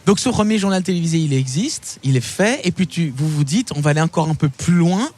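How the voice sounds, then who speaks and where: A man's voice: a little high, expressive, a little fast, a little mellow. A man hosting a conversational interview, putting questions and comments to his guest. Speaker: interviewer, podcast host.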